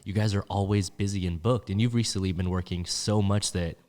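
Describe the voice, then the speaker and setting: A man's voice: sits low, steady tone, a little mellow, long reverb tail. A man hosting a casual podcast interview, chatty and full of filler words. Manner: quick, chatty, lots of "like" fillers. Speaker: podcast host.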